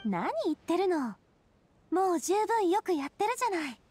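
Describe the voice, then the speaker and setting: High-voiced, confident young female ruler. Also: very animated, normal speed, darkish tone, faint music behind. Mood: encouraging. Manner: casual, frank, assured speech. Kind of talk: Japanese video game voice acting.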